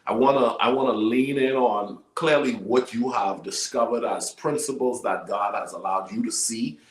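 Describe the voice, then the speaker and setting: A man's voice: mid-range voice, moderate pace. A man hosting a talk show in a conversational, emphatic way, often repeating words, with an echoing sound. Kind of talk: conversational interviewing.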